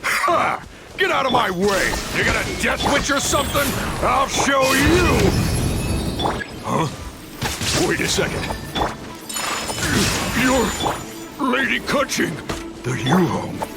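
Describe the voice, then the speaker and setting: An actor playing a brash, cocky bandit gang boss in a video game, with slangy, blustering speech. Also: male voice, very high-pitched, big pitch swings, slow, long pauses, loud music behind the voice, full character voice. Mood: loud, aggressive, then alarmed. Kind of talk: dubbed video game cutscene acting.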